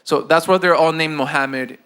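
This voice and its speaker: A man from South America hosting a casual interview, excitable and quick to interject. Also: on the high side, a little hiss or hum. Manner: excitable, interjecting, laughs often. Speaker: host.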